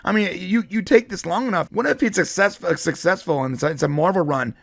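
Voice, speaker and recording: A man's voice: high voice, fast talker, gravelly, fairly bright tone, no echo. A man giving casual pop culture commentary as a show host, explaining things in an enthusiastic, conversational way. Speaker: show host and comic book fan commentator.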